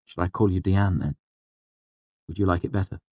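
A man's voice: low-pitched, steady tone, normal speed, warm tone, dry recording. A man voicing a bashful, smitten young student in audiobook dialogue, earnest but trying to sound casual. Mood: warm, protesting.

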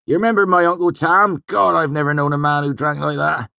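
Man putting on a high, chatty villager. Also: flat delivery, a little fast, creaky, bright, forceful tone, no echo. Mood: amused.

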